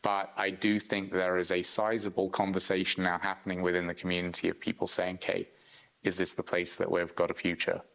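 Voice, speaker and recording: A man interviewed in a news report, speaking in a calm, considered way. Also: sits low, brisk, gravelly, rough recording. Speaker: interviewee, advocacy chief executive.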